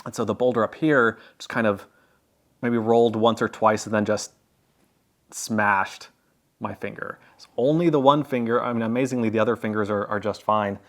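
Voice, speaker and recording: A man with a somewhat low voice recounts a story to his camera in an easygoing vlog. He talks loosely and rambles, trips over his own words and leans on "like" and "you know". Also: steady tone, moderate pace. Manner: rambling, filler-heavy storytelling with many restarts. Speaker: travel vlogger. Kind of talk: casual chat to camera.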